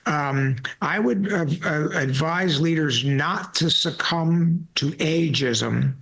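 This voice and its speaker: A man's voice: on the high side, slow pace, gravelly, fairly bright tone. A man in his sixties hosting a podcast, telling stories in a loose, rambling way with repeated words and folksy asides. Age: 60s. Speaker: podcast host. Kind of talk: casual conversational interview.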